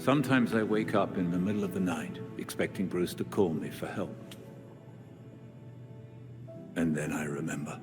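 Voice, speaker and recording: An actor playing a caring, formal elderly butler in a video game, speaking in a measured way. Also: male voice, sits low, natural voice. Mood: gentle, subdued. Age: older. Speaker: butler and mentor. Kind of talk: video game cutscene acting. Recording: long reverb, music behind.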